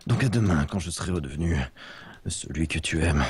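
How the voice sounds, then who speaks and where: Man putting on a low, brooding werewolf hedgehog. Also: lively intonation, fairly quick, a little mellow, breathy edge, no echo, full character voice. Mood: dejected. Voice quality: low, growly. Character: anguished male werewolf hedgehog, gruff, self-loathing, lovesick. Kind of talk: amateur parody audio drama acting.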